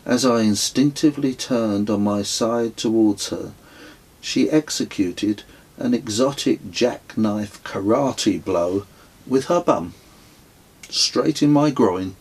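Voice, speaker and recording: A man reading his own memoir as an audiobook, telling it in a measured, wry storytelling way. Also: on the low side, a little mellow, husky, poor audio. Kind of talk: scripted audiobook narration.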